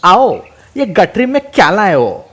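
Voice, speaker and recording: Man doing a shrill-pitched grumbling partner. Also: big pitch swings, a little slow, a bit bright, slightly rough, exaggerated voice. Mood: irritated.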